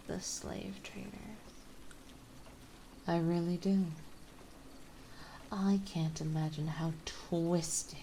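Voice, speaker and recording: A woman playing a genteel, possessive vampire mistress in an ASMR roleplay, speaking softly, politely and soothingly. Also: sits low, creaky, slight background noise, heavily acted, rough recording. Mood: calm, candid. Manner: soothing, softly spoken, polite, unhurried, doting. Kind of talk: ASMR roleplay acting, partly whispered.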